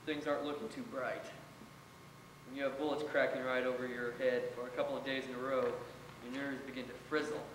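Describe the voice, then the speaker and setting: A man plays a young American soldier, weary and wry, in a readers theater performance of a letter home. His mid-pitched voice rings around a reverberant hall with some background noise. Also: little pitch movement, fairly quick, close to an everyday voice. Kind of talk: dramatic reading of war letters. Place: college theater stage. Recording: echoey hall from afar, noisy.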